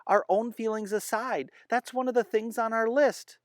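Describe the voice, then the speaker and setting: A reader voicing a thoughtful young boy in a read-aloud storybook, speaking softly and earnestly. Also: medium pitch, fairly even intonation, moderate pace, dry recording, clean studio sound, pitched above the performer's own voice, lightly acted. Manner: soft, earnest and gentle.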